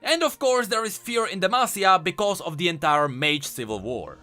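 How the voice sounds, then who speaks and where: A man's voice: high voice, lively intonation, moderate pace, sharp tone, faint music behind. A man hosting a scripted explainer, narrating lore in an emphatic, storytelling way. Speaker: video host and lore commentator.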